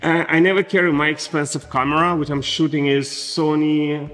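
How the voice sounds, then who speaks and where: A man's voice: on the high side, fairly even intonation, normal speed, a bit bright, long reverb tail, soft background music. A man in his forties from Estonia, a native Russian speaker with a Russian accent, chatting enthusiastically in a rambling fashion haul vlog, recorded on a chest mic in a large loft room. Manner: enthusiastic, rambling, gushing superlatives. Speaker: fashion vlogger.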